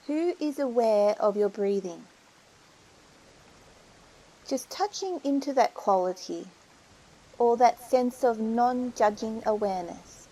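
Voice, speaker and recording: A woman leading a guided meditation, giving soothing, unhurried instructions with pauses between phrases. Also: on the high side, animated, warm tone, poor audio.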